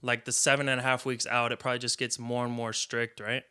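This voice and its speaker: A man's voice: medium pitch, little pitch movement, fast talker, bright, forceful tone. An American man hosting a casual interview, talking in an informal, conversational way. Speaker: interviewer, host.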